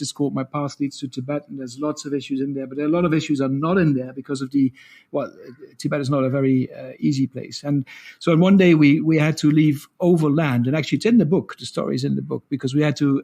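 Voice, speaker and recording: A middle-aged man with a deeper voice, a guest telling stories in a casual interview. He talks quickly, restarts his sentences often and laughs between stories. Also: warm tone. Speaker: guest, co-founder of a training program.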